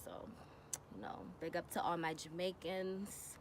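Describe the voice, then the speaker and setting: A woman's voice: on the low side, fairly even intonation, measured pace, very noisy. A woman in her twenties from New York, chatting casually in a Q&A vlog and often filling with "you know". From New York, USA. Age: 20s. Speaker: vlogger.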